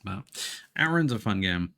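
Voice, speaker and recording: A man's voice: lowish voice, expressive, normal speed. A man giving casual livestream gameplay commentary, muttering reactions with some mild swearing. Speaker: video game livestreamer. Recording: loud game music behind voice.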